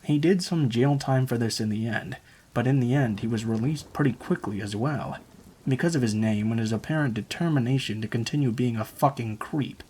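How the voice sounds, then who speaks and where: A man narrating true horror stories in a steady, even storytelling read. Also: medium pitch, fairly quick, breathy edge, rough recording.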